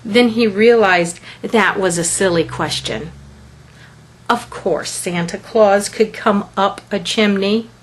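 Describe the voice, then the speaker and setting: Lady doing a kids book read aloud, really slow n deliberate, expressive, bit of a husky voice. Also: mid-range voice. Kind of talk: scripted children's picture book reading.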